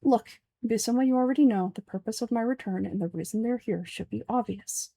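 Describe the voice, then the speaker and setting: Woman acting young male scholar, measured, dry, condescending, mellow low register, fantasy game, faint music. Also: no echo. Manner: deadpan, measured.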